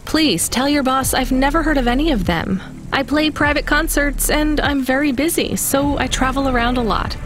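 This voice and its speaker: Casting: actress as calm, wary young female courier for a game, dry and understated, over loud music. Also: high-pitched, moderate pace, natural voice. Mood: composed, cautious. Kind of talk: video game voice acting.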